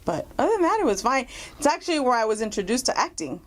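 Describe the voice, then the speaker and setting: A woman guest from Mexico, chatting casually in an interview, animated and laughing often. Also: a little high, fast. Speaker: guest actress.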